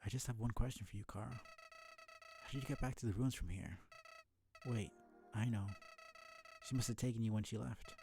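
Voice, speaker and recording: A man voicing a bored, manipulative killer flower from a video game, reading its monologue in a deliberate, brooding, theatrical way. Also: mid-range voice, huge pitch swings. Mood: probing. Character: sinister talking flower, bored, manipulative, world-weary killer. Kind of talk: video game dialogue read aloud. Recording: music behind the voice.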